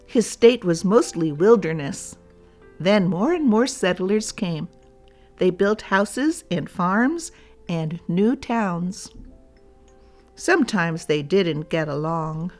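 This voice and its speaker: Slow-paced woman reading a children's story. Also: fairly low pitch, lively intonation, a little mellow, soft background music. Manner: deliberate, addressing young listeners. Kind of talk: read-aloud storybook narration for children.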